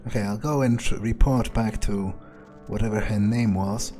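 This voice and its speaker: A man's voice: fairly low pitch, fairly even intonation, moderate pace, dark tone, close to an everyday voice. A man role-playing a callous, laid-back vampire vigilante in a video game, muttering his thoughts offhandedly. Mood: matter-of-fact. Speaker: let's player role-playing in character. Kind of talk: in-character gameplay commentary, thinking aloud. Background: game music.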